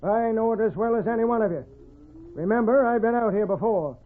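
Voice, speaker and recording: Tinny old-radio bullying, greedy high-voiced wagon boss. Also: male voice, brisk. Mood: commanding. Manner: domineering, folksy Western speech. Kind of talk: 1940s radio western acting. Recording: tinny, band-limited old radio recording.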